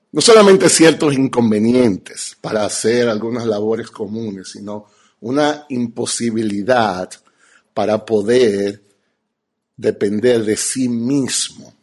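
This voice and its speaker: A man with a Dominican accent teaches like a pastor at a conference. His voice is husky and of medium pitch. He speaks emphatically, pausing deliberately to let each point land. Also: darkish tone. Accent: Dominican Spanish. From Dominican Republic. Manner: emphatic, rhetorical questions, deliberate pauses. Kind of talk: teaching sermon to an audience.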